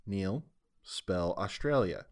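A man hosts a relaxed trivia podcast with a playful, jokey manner, stretching words out for fun. His voice sits fairly low and sounds a little stuffed up and sniffly. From Arkansas, American South. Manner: playful, jokey, drawn-out delivery. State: congested, sniffly. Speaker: podcast host. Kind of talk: casual trivia podcast chat.

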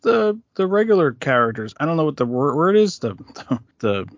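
A woman's voice: deep voice, big pitch swings, normal speed, no room sound, dull audio. A woman co-hosting a casual podcast, hesitant, often searching for words. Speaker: podcast co-host. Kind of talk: casual chat.

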